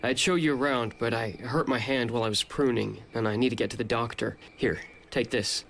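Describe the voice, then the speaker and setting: Casting: jittery, evasive gardener for an adventure game, mid-range voice, hesitant stammering delivery. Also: male voice, medium pitch, steady tone, brisk, vocal fry, a bit bright, faint music behind. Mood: nervous. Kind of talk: video game cutscene acting.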